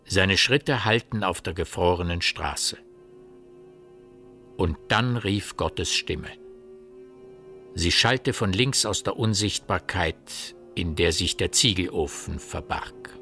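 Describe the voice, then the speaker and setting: A man narrating a literary radio play in a grave, measured storytelling manner. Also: low-pitched, pushed and bright, soft background music, heavily acted. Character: grave omniscient male narrator of a village mystery.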